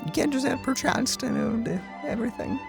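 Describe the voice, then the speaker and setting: A man's voice: extremely high pitch, wildly swooping pitch, moderate pace, gravelly, music in the background. A man co-hosting a let's play, chatting casually as he comments on the game. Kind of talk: casual gaming commentary, reading along.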